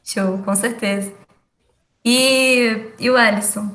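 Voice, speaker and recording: A young woman teaching an online class in a relaxed way, full of fillers and laughs. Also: fairly high pitch, slow, reverberant. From Rio de Janeiro, Brazil. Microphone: video call.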